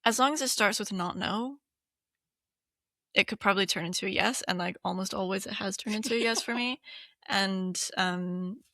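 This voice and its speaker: Gravelly bright-voiced woman chatting. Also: mid-range voice, moderate pace, dry recording, clean studio sound. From Hong Kong. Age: 30s. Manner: chatty, many fillers, laughs often. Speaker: podcast co-host. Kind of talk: casual chat.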